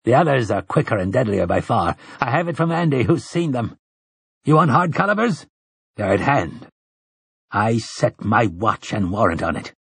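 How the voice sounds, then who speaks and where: A man's voice: mid-range voice, very animated, normal speed, gravelly, a bit bright, husky, no room sound, full character voice. A man voicing a stern old priest in an audiobook, commanding and oratorical, with rustic, archaic turns of phrase. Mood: commanding, assured. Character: stern, weathered old priest rallying frightened villagers. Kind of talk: audiobook character dialogue.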